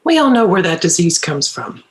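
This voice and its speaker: Animated, exasperated mom, acted by a woman. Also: fairly low pitch, moderate pace. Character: exasperated, protective mom of three. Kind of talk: screenplay table read.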